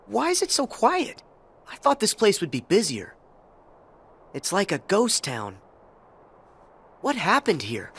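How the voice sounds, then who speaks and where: A man voicing a plucky, earnest teenage boy hero in an animated game, youthful and curious. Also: sits high, slow pace, long pauses, breathy edge, heavily acted. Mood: puzzled. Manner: youthful, spirited. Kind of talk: animated game character acting.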